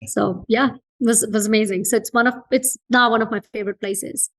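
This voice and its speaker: A woman's voice: fairly high pitch, steady tone, brisk, fairly bright tone, poor audio. A woman from Fiji speaking as an interview guest in a reflective, conversational way, earnest and emphatic, repeating phrases for emphasis. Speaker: entrepreneur being interviewed as a guest.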